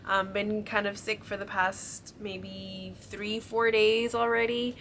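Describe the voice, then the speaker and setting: A woman's voice: medium pitch, level tone, slow, a bit bright, slight background noise. A young woman chatting casually to camera, her voice stuffy and congested from a cold, filling her talk with ums and likes. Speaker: vlogger showing a shopping haul.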